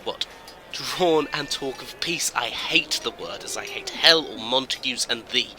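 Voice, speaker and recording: A man's voice: mid-range voice, a little slow, natural voice. Menacing hothead Tybalt, acted by a man, noisy recording. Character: Tybalt, menacing hot-tempered young nobleman. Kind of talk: Shakespearean audio drama acting. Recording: loud outdoor ambience behind.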